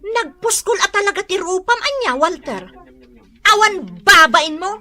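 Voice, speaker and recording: A woman's voice: very high-pitched, animated, measured pace, sharp tone, full character voice. A woman with an Ilocano accent playing a blunt, chiding young co-worker in a comic radio drama. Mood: scornful.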